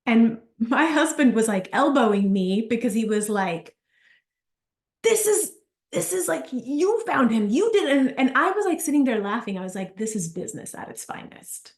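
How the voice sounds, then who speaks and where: Animated chatty woman in an echoey room. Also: on the high side, moderate pace. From Argentina. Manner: animated, chatty, storytelling with asides. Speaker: business coach. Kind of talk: live workshop talk.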